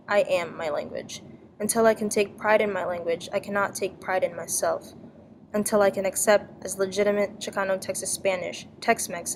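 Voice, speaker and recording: A woman's voice: mid-range voice, darkish tone, slight background noise, poor audio. A woman earnestly reading a passage aloud for a class presentation. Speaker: presenter and interviewer.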